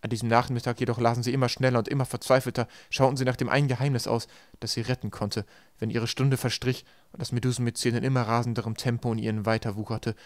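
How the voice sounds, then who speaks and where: A man's voice: mid-range voice, little pitch movement, quick pace, no room sound. A man reading scripted audiobook narration as the storyteller.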